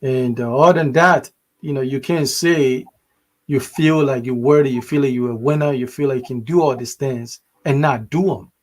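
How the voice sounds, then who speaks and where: A man's voice: mid-range voice, normal speed. A man from Ghana co-hosting a podcast, talking in an emphatic, motivational way with many restarts. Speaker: podcast co-host. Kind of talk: casual discussion.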